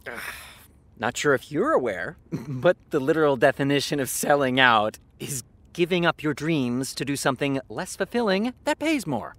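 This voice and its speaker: A man playing a defensive, exhausted TV writer with a sardonic, halting delivery in a naturalistic audio drama. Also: mid-range voice, expressive, fairly bright tone, dry recording, close to an everyday voice.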